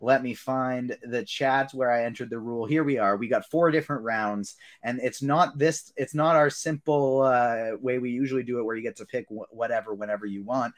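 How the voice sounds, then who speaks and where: A man hosting a casual panel show, talking informally as he runs things. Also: mid-range voice. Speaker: podcast host.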